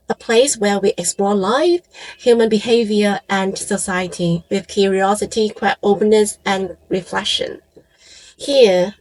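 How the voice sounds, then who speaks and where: A woman in her forties from Hong Kong, a native Cantonese speaker with a Hong Kong accent, narrates a scripted, reflective piece in measured phrases with short pauses. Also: medium pitch, darkish tone, rough recording. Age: 40s. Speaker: YouTube channel creator and host.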